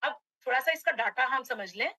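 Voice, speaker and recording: A middle-aged woman from Uttar Pradesh presents a motivational webinar with a slightly hoarse throat. Her voice sits high and bright, and the sound is dry and close on a computer mic. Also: expressive, fairly quick, no room sound. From Uttar Pradesh, India. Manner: addresses audience as friends, motivational. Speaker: direct selling trainer.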